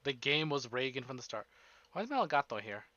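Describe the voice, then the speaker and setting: A man hosting a casual livestream, chatting in an excitable, playful, profane way. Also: mid-range voice, brisk, dry recording. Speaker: livestream host. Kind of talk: casual streaming chat between visual novel readings. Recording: dull lo-fi stream audio under music. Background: prominent music.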